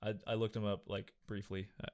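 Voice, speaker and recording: A man's voice: fairly low pitch, little pitch movement, brisk, darkish tone, no room sound, studio quality. A man in his twenties co-hosting a casual entertainment news chat in a laid-back, offhand way. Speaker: co-host of a gaming and film news show.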